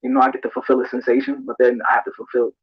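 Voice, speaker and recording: A man answering interview questions in a reflective, hesitant and measured way, on muffled played-back audio. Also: medium pitch, flat delivery, husky, no echo.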